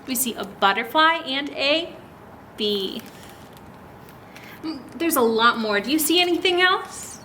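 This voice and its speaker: Female story time host for small kids: very bright, very slow and clear-cut teacherly delivery, question-led, slightly noisy recording. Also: high-pitched, animated. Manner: teacherly, addresses children directly with many questions. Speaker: children's story time host at a public library. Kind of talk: read-aloud for young children with explanations and questions.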